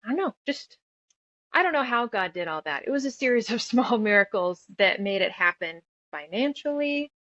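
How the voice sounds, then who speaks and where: A woman's voice: on the high side, very animated, moderate pace, fairly bright tone, no room sound. Woman guest chatting, slightly dull audio. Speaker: guest, author, musician and audio drama creator. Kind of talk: casual interview conversation.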